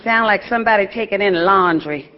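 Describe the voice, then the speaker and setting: An actress playing a sassy, proud young blues singer in a stage drama. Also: female voice, medium pitch, brisk, highs cut off, a faint crowd, natural voice. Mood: sassy, evasive.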